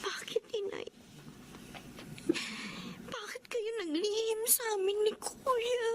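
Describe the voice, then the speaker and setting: High-voiced, plaintive schoolgirl daughter. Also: slow pace, noisy background. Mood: tearful. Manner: plaintive, emotional. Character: hurt, sulky young schoolgirl daughter. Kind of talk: radio drama acting.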